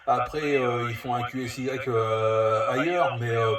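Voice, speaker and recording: A man's voice: mid-range voice, fairly even intonation, measured pace, a crowd in the background. Casting: male CB operator, casual and rambling, distant from the mic, roomy echo. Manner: rambling, hesitant. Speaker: CB radio operator. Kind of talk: casual two-way radio chat.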